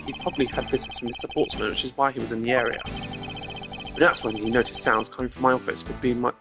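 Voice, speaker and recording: A man plays a composed male prosecutor from a mystery game, formal and deliberate, setting out his reasoning with measured care. Music plays clearly behind him. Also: mid-range voice, fairly even intonation, natural voice. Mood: assured, explaining.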